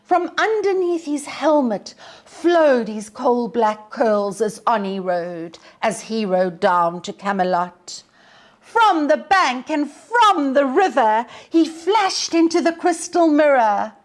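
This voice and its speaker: Female storyteller-teacher, higher-pitched voice, very unhurried, dramatic and measured in delivery. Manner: theatrical, measured, drops to hushed breathy tones. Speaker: teacher reading poetry to pupils. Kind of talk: dramatic poetry recitation and storytelling.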